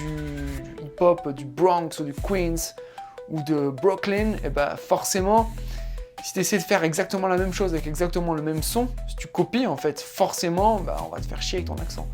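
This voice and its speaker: A young man from France telling the story of his trip in a casual, slangy voice-over full of asides and fillers. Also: on the high side. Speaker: vlogger narrating his trip. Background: faint music.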